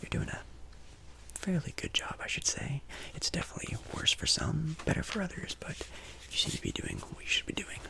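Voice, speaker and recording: A man whispering an ASMR roleplay as a friendly, apologetic casino dealer, breathy and chatty, with polite, hesitant reassurance. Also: a little high, huge pitch swings, a little fast, pushed and bright.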